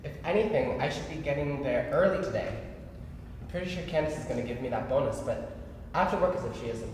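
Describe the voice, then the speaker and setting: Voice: medium pitch, lively intonation, fast talker, darkish tone, lightly acted. A young performer reading the part of a tired, sensible, caring man who teases dryly in a staged play. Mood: weary, caring. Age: young adult. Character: Andrew, tired, sensible, teasing working man, caring partner. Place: staged reading in a large echoey room. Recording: echoey hall, faint noise.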